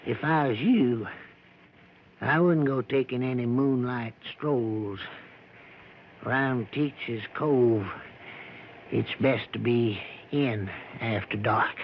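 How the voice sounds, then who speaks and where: An actor playing a folksy backwoods American driver who gossips grimly and deferentially, in a drawn-out vintage radio drama style. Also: male voice, mid-range voice. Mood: uneasy, wary. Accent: rural American. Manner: folksy, drawn-out storytelling with dialect grammar. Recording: muffled, noisy vintage radio broadcast.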